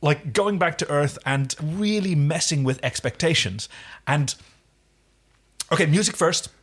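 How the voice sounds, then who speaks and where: A man's voice: a little high, measured pace, background noise. A man co-hosting a podcast in casual, chatty, emphatic conversation. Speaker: podcast co-host. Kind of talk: casual chat.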